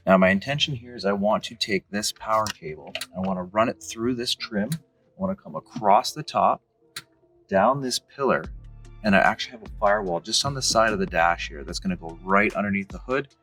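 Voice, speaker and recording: A man in his thirties with a low-pitched voice walks through a DIY job step by step. His delivery is casual and plain-spoken, like a hobbyist's tutorial. He is recorded in a garage on a camera mic, with music in the background. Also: lively intonation, brisk, fairly bright tone. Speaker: host of a DIY Jeep how-to channel. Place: indoor garage with high ceiling, in and around a car.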